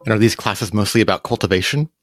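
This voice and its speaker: Host: quick-talking man, lowish voice, plain questions. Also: dry recording, clean studio sound. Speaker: podcast host. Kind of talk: casual interview questions.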